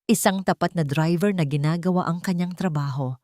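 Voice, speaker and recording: A woman's voice: fairly low pitch, a little fast, mellow, no echo, studio quality. A woman storyteller reading scripted dramatic fiction in a suspenseful, emphatic manner.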